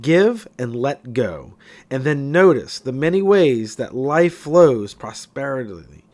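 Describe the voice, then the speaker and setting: Man narrating with lively, varied pitch. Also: slow. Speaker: audiobook narrator. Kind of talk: scripted audiobook narration of a self-help book.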